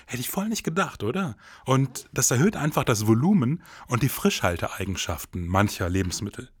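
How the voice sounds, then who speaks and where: A man's voice: medium pitch, big pitch swings, normal speed, husky, no echo, clean studio sound. A man co-hosting an investigative podcast, moving between scripted dialogue and narration.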